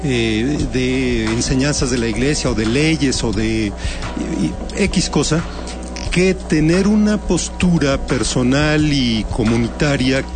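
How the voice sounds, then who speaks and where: Male panelist, average pitch, talks in long stacked sentences over background music. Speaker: panelist in a discussion. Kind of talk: conversational roundtable discussion.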